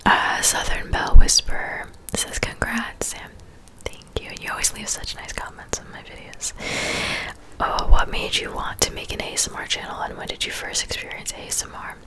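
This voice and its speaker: A woman in her twenties speaking in a soft, breathy whisper, chatting casually and unhurriedly as an ASMR creator answering viewers. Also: moderate pace, poor audio. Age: 20s.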